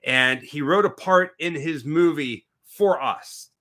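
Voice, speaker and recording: A man's voice: on the high side, takes it slowly, pushed and bright. A man in his twenties co-hosting a casual live stream, joking and bantering. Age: 20s. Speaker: co-host.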